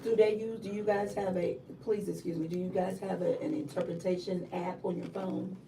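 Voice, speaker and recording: A fairly low-voiced woman chimes in with hesitant, apologetic questions during a livestream interview. She is far from the mic. Noticeable background noise surrounds her voice. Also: steady tone, normal speed, creaky, dark tone. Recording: distant, noisy livestream audio.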